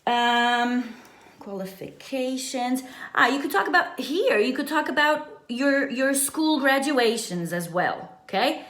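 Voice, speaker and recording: A woman's voice: on the high side, a bit bright. A woman giving an informal bilingual language lesson as a teacher, often checking in with short tag questions. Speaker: English teacher. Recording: echoey, roomy sound.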